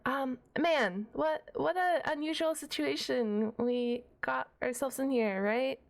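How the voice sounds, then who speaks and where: A woman's voice: high-pitched, slow pace, gravelly, full character voice. A woman playing a shy, nerdy half-dragon librarian in an ASMR roleplay, stammering and wordy, awkwardly defensive and a stickler for rules. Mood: nervous, evasive. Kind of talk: ASMR roleplay audio drama.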